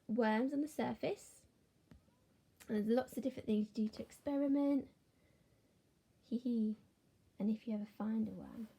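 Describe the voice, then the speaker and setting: A gentle woman teacher reading a storybook aloud to young children, slipping into soft whispered asides. Her voice sits a little high, with a gravelly, husky texture and a mellow, dark tone.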